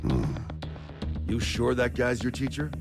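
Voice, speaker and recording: A man playing a gruff, blunt, world-weary fighter in a video game, terse and dry. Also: mid-range voice, big pitch swings, a little slow, music in the background. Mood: grim, dry. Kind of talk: English game voice acting.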